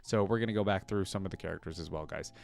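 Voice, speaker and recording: Clear-voiced man, casual reaction host. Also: low voice, racing, darkish tone. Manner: informal, rambling fan talk.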